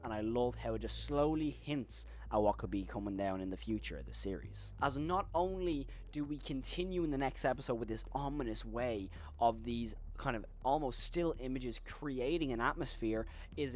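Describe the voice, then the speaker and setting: Male video essayist, horror recap host, mid-pitched voice, wordy and rambling with repeated emphasis. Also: a little mellow, slight background noise. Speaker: YouTube horror commentator and host.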